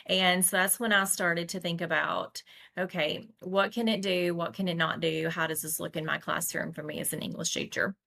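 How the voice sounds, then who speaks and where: A woman in her forties with a Southern American accent from Tennessee, chatting as a guest teacher and telling lively stories. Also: fairly low pitch, moderate pace, gravelly. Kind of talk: casual conversation.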